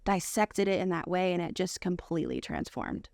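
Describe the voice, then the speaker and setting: A woman's voice: lowish voice, normal speed, gravelly, a little mellow, no echo, studio quality. An American woman talking casually and earnestly about personal experience as an interview guest, repeating phrases for emphasis. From United States. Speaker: podcast guest.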